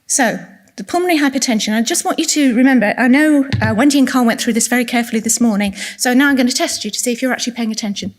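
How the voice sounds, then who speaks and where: A woman giving a quiet-voiced conference talk, asking the audience questions as she goes. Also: a little high, rapid-fire. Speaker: conference speaker. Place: conference room.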